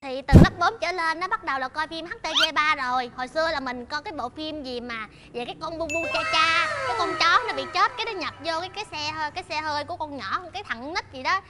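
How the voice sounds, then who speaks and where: A young woman with a Southern Vietnamese accent, chatting playfully and excitably as a talk show guest. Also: high voice, fast talker, hardly any pauses. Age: young adult. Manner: animated, playful, exclaiming. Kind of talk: casual comedic chat. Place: indoor studio set dressed as an old drinks shop.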